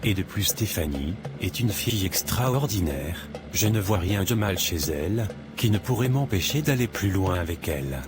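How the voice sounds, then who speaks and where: Man doing a worried young guy, very low voice, earnest, cartoon. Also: expressive, moderate pace, music in the background. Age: young adult. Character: troubled young man, law student.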